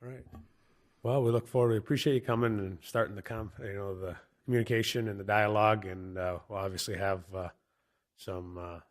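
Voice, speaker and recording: A man's voice: on the low side, fairly even intonation, brisk. A man chairing a county commission meeting, introducing items in an easygoing way with fillers.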